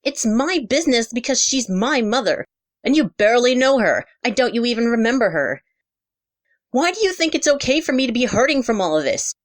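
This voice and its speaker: A woman's voice: on the high side, sing-song, a little fast, dry recording, voice pushed up. Woman as hurt teenager, audio story, defiant, accusing, emphatic, dry sound. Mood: angry, hurt. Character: hurt, defiant teenage child confronting a parent. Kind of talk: heated character dialogue in a narrated audio story.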